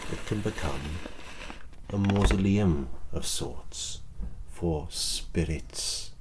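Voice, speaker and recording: A scheming, wretched old court alchemist, as a man acts him out with a Welsh lilt, his voice sunk extremely deep. He talks slowly and softly in an archaic hush that drops to a whisper at times, in an ASMR roleplay. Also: a little hiss or hum. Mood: quietly menacing. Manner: archaic thee-and-thou speech, soft and hushed, sometimes whispered.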